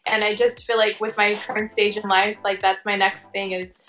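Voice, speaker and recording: A woman's voice: mid-range voice, little pitch movement, normal speed, fairly bright tone, faint music behind, poor audio. A woman chatting casually as an interview guest, informal and sprinkled with "like" and "you know". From Tongan American. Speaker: interview guest, working fashion and commercial model.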